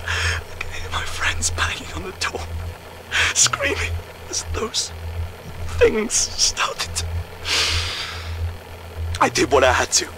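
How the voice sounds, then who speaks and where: A man's voice: high-pitched, huge pitch swings, slow pace, long pauses, pushed and bright, slight background noise, heavily acted, rough recording. A man playing a shaken, guilt-ridden colonist in a game audio diary, confessing in a broken, pleading way. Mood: haunted.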